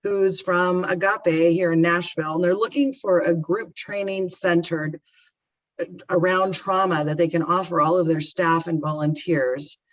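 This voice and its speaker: A woman's voice: lowish voice, fairly even intonation, measured pace. A woman moderating a webinar on a video call, speaking emotionally with stumbling restarts. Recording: dull lo-fi call audio.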